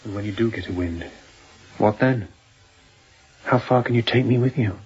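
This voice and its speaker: Fierce officer on the run, played by a man: lowish voice, hushed and intense, radio play, dull recording. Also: normal speed, close to an everyday voice. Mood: hushed, haunted. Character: intense fugitive ship's officer in hiding, fierce. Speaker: actor in a sea drama. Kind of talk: radio drama acting. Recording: dull, muffled sound.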